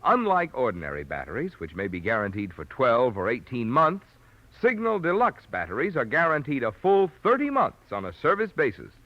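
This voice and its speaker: A man's voice: mid-range voice, huge pitch swings, normal speed, dry recording. A man with an American accent reading a scripted sponsor message as a polished, emphatic 1940s radio announcer. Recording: muffled old radio broadcast recording.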